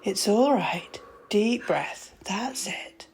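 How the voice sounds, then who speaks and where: A woman's voice: medium pitch, animated, very slow, a little mellow, a little hiss or hum, low-quality recording. Composed, wry woman doctor, acted by a woman. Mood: concerned, soothing. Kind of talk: audio drama acting.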